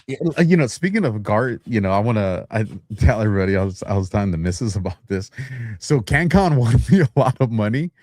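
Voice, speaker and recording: A podcast co-host, a man with a lowish voice, rambles through his stories and pokes fun at himself along the way. The sound is dry and close, with no room echo. He talks casually, the way you would with friends. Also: big pitch swings, quick pace, darkish tone. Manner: rambling, self-deprecating, frequent restarts and laughs. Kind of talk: casual chat.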